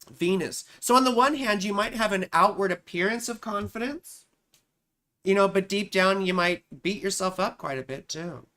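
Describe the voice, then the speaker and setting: Live-stream astrologer host, chatty and direct, echoes her own lines, mid-pitched voice. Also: pushed and bright. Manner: chatty, repeats her own phrases, addresses viewers directly. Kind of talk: casual live-stream chart reading.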